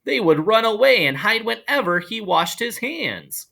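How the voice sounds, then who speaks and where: A man reading a children's story aloud in an animated storytelling style. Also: high voice, measured pace, creaky. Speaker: storybook reader.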